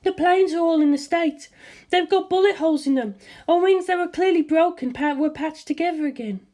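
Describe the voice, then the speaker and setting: A woman voicing a worried, gentle middle-aged mother speaking on the phone. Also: very high voice, fairly quick, voice pushed up. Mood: anxious. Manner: soft, anxious, loving.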